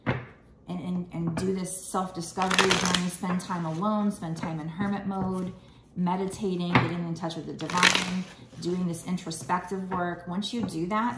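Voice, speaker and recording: A woman's voice: fairly low pitch, echoey room, heavy background noise. A woman giving a casual tarot reading, rambling and reassuring, her voice slightly froggy. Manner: rambling, reassuring, many fillers. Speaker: tarot reader.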